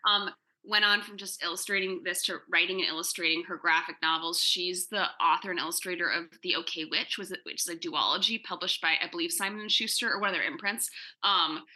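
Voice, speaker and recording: A woman's voice: fairly low pitch, fairly even intonation, brisk, bright, forceful tone, poor audio. A woman chatting casually as an interview guest, enthusiastic and rambling, peppering her talk with "like". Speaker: comics writer and TV writer-producer, interview guest. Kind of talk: casual Q&A chat.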